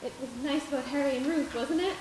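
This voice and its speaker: A woman's voice: high voice, fast talker, close to an everyday voice. A woman playing a spirited, coy and feisty small-town young woman in a live radio play. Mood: coy, hopeful. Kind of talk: live 1940s-style radio play acting. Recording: very echoey stage, distant, noisy.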